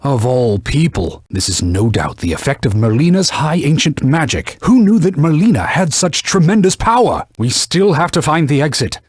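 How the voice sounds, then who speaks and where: A man's voice: fairly high pitch, sing-song, moderate pace, few pauses, breathy edge, no echo, clean studio sound. A man voicing a stern, haughty talking sword in a video game, a cautious battle mentor giving formal, scolding commands. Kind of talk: theatrical video game voice acting.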